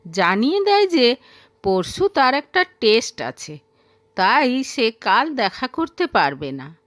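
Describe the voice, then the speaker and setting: A woman narrating a scripted story in an expressive, dramatic storytelling manner. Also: on the high side, dry recording.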